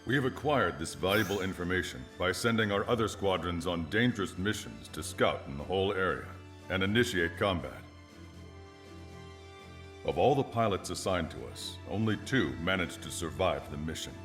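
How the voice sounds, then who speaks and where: An actor playing a composed, authoritative air force officer giving a formal, measured briefing in a video game. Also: male voice, deep voice, expressive, fairly bright tone, soft background music. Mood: composed, businesslike.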